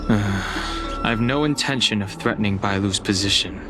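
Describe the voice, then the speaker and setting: An actor playing a cool, serious wanderer in a video game, speaking in a reserved and formal way. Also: male voice, fairly low pitch, moderate pace, natural voice. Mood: guarded. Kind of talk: video game cutscene acting. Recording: loud music over the voice.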